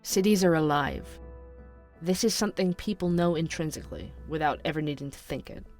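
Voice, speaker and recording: A man's voice: on the high side, animated, a little fast, soft background music, lightly acted. An actor playing an earnest, curious young man who hosts an amateur podcast, narrating an audio drama in a musing way. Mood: brooding, poetic.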